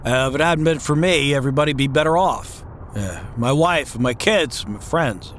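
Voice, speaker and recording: A man's voice: mid-range voice, moderate pace, a bit bright, a little hiss or hum, natural voice. A man playing a troubled, bewildered small-town family man in an old-time radio drama, with a hesitant, stammering delivery. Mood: despairing.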